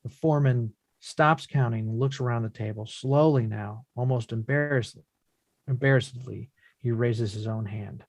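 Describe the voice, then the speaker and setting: A man reading stage directions from a play as measured, descriptive scripted narration. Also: mid-range voice, dry recording.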